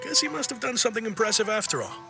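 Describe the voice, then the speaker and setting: An actor playing an easygoing, friendly young-sounding sailor in a video game, chatty and casual. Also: male voice, sits high, very animated, racing, gravelly, over music, full character voice. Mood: amused, chatty. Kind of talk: video game cutscene acting.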